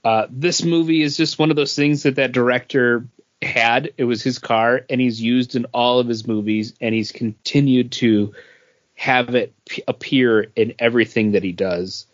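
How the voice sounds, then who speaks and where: A man's voice: medium pitch, steady tone, normal speed, dry recording, muffled recording. A man co-hosting a podcast, talking casually about pop culture, hesitant and often circling back over his phrases. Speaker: podcast co-host.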